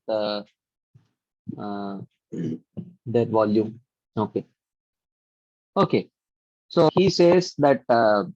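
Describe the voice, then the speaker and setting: A man's voice: on the high side, no room sound. A man teaching a scripture class online, explaining verses at length with many fillers and chanting short verses between his explanations. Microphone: computer mic on a video call. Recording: dry, dull lo-fi online audio.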